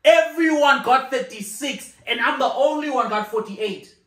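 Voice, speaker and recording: A man's voice: very high voice, moderate pace, fairly bright tone. A man in his thirties arguing his point insistently on a sofa at home, repeating himself and breaking into laughs, picked up by a camera across the room. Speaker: co-host of a couple's channel. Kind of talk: casual argument on a couch. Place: living room, sitting on a sofa. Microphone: far camera. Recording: echoey living room, camera mic.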